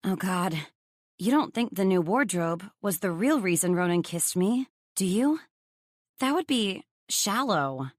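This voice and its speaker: A woman's voice: fairly low pitch, big pitch swings, unhurried, no echo, studio quality. A woman voicing an earnest, guarded young heroine in audiobook dialogue, sincere and a little unsure. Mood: hopeful, unsure.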